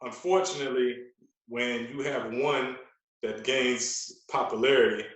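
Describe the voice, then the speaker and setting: A man's voice: mid-range voice, unhurried, a bit bright, distant mic. Man chatting in a very echoey room. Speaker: podcast guest, fitness trainer. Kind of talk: casual conversational interview talk. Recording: very echoey, roomy sound.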